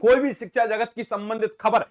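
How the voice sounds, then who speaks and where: A middle-aged male coaching teacher speaks straight to his viewers with a noticeably high-pitched voice. His delivery is earnest and repetitive, and he circles back to the same warnings and appeals again and again. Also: steady tone, brisk. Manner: earnest, repetitive, addresses viewers as dear friends. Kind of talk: casual direct-to-camera explainer.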